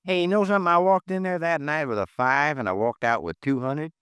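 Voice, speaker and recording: An actor playing a streetwise gambling friend in a 1950s American radio drama, slangy and teasing. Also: male voice, on the high side, fairly quick, fairly bright tone, clean tone, no echo, studio quality, lightly acted. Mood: easygoing.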